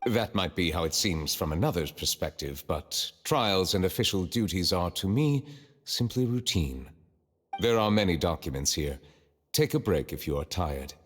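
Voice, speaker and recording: A man voicing a courteous, reserved, dignified chief justice in a video game, speaking formally and with measured politeness. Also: deep voice, lively intonation, fairly bright tone, long reverb tail. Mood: composed.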